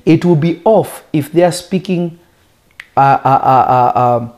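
A man who is a native Lingala speaker, preaching in a conversational teaching style with emphatic, deliberate phrasing and rhetorical questions. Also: on the high side, a little mellow.

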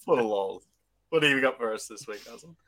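A man's voice: mid-range voice, fairly quick, fairly bright tone, no echo, clean studio sound. A man hosting a casual podcast over a video call, asking friendly, encouraging questions. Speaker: podcast host.

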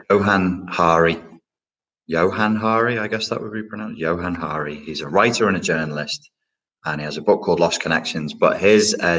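A man's voice: extremely low pitch, a bit bright, rough recording. A man hosting a casual live question-and-answer stream, talking in a rambling, reflective way with many fillers and restarts. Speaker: livestream host answering chat questions.

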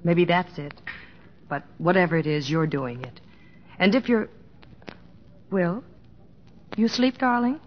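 An actress playing a devoted, sensible middle-aged American wife in an old radio drama, tender, earnest and gently chiding. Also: female voice, fairly low pitch, lively intonation, a little slow, pauses often, slight background noise. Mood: tender, fussing. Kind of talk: 1950s radio drama acting. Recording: muffled old radio recording with music.

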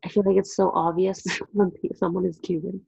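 A young woman speaks with a heavily gravelly, husky voice as a podcast co-host, hesitant and leaving thoughts half-finished. The recording is dull and lo-fi, muffling her voice. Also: lowish voice, level tone, fairly quick, warm tone. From United States. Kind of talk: casual podcast chat.

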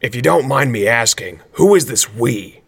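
Actor as stolid man of stone for parody audio drama: gravelly, dry, formal delivery. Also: medium pitch, expressive, normal speed, fairly bright tone, exaggerated voice.

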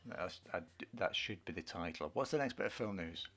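A man's voice: deep voice, lively intonation, brisk, fairly bright tone. A middle-aged British man co-hosting a film show, chatting casually and giving blunt, dry opinions. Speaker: co-host of a film review show. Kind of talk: casual film news chat.

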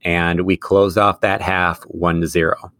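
A man's voice: low-pitched, little pitch movement, unhurried, a bit bright, no echo. A man who is a middle school teacher, co-hosting a casual sports podcast and laughing often as he talks. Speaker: podcast co-host.

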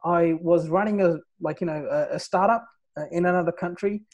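Guy from nepal, 30s, podcast guest, fairly high voice, lots of "right?" and "you know". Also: a little fast, darkish tone, lo-fi sound. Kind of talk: casual interview, telling his life story.

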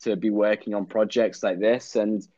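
A man's voice: on the low side, dry recording. Young man with a dry, muffled voice. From England. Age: young adult. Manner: relaxed, halting, repeats words, dry jokes. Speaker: guest actor. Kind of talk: casual interview chat.

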